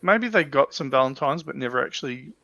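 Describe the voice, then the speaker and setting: An Australian man telling stories in casual chat, with a halting delivery that often doubles back on words. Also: mid-range voice, expressive, creaky, pushed and bright. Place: livestream video call. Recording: dull, lo-fi voice call audio.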